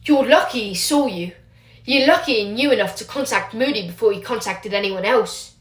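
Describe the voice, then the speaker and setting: A gruff older wizard teacher scolds with stern, protective anger, voiced by a female reader. He snaps his words out in short bursts. A roomy echo hangs around the voice. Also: on the high side, moderate pace, lightly acted. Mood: scolding.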